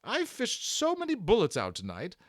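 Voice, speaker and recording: A man voicing a brisk, indignant little woman nurse in a storybook, scolding and exclaiming. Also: high-pitched, wildly swooping pitch, no echo, studio quality, pitched above the performer's own voice. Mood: exasperated.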